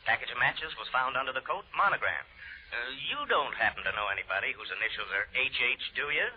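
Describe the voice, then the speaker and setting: An actor playing a jocular, needling police lieutenant in a 1940s radio detective drama, with a husky voice and teasing delivery. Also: male voice, medium pitch, lively intonation, fast. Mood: sardonic, businesslike. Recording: dull, lo-fi 1940s radio recording.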